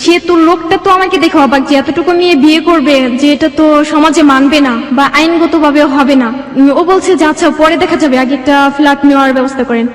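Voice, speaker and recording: A woman's voice: sits high, fast. A young Bangladeshi woman telling her own life story as an interview guest. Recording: echoey room with long reverb.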